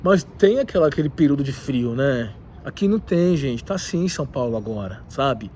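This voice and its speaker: A man with a cold, talking in an animated, opinionated way with many asides in a casual vlog, recorded in a rooftop leisure area. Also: mid-range voice, brisk, slight background noise. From southern Brazil. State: has a cold. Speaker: video host.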